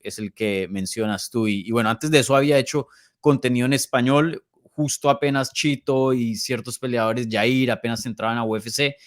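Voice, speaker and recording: A man in his early thirties with a Colombian accent, talking casually as an interview guest with hesitant, pausing phrasing. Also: lowish voice, dry recording, clean studio sound. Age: early 30s. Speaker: podcast guest, MMA journalist.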